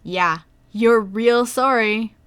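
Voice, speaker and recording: A woman plays a young woman who won't be overlooked in an audio drama. Her voice is fairly high, with indignant, sarcastic delivery. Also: slow, fairly bright tone, smooth. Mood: offended, sarcastic.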